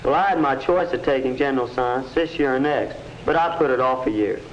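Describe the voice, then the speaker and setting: A man's voice: mid-range voice, brisk, lightly acted. A young male actor playing a casual, skeptical American teenage son in a 1950s educational film. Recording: muffled, hissy 1950s film soundtrack.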